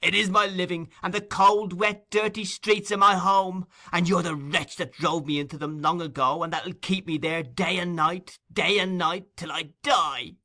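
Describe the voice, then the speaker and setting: Man playing a furious, high-pitched young woman thief. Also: steady tone, moderate pace, hardly any pauses, sharp tone. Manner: vehement, torrential, screaming outbursts.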